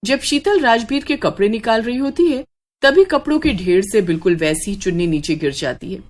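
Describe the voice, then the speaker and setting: A woman reading scripted horror narration as a dramatic, measured storyteller. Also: fairly high pitch, animated, a little mellow.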